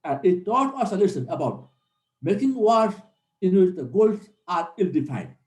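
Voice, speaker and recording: A man's voice: high voice, big pitch swings, moderate pace, darkish tone. A middle-aged man, giving an earnest classroom lecture as a military instructor and repeating key points for emphasis. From Nepal. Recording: roomy echo.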